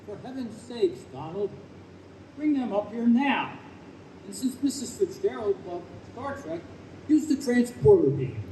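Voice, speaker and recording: Casting: male actor as officious gatekeeper of heaven, high lilting voice, very soft-edged tone, radio play. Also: very animated, measured pace, exaggerated voice. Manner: officious, formal. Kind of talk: old-time radio play acting. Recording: echoey noisy live stage, distant microphone.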